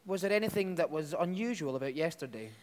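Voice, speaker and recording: An actor playing a brisk, gruff police inspector in a dramatised story reading, curt and dry as he interrogates. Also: on the high side, expressive, darkish tone, close to an everyday voice. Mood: questioning, brisk. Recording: echoey hall, picked up in the room.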